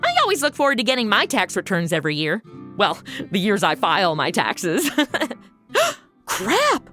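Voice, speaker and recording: A woman's voice: medium pitch, wildly swooping pitch, unhurried, bright, forceful tone, faint music behind, exaggerated voice. An actress playing a flippant, chatty modern young woman tossing snarky, casual asides into a comedy audio drama.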